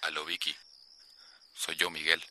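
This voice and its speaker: An actor playing a self-pitying, pleading husband with a Costa Rican accent in a radio soap opera. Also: male voice, rumbling low, completely flat delivery, measured pace, very noisy.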